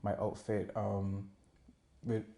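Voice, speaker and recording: A man's voice: on the low side, monotone, takes it slowly, vocal fry, mellow. A man with a Bajan accent giving a casual interview as a musician, informal and often rephrasing himself. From Barbados. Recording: roomy, echoing interview room.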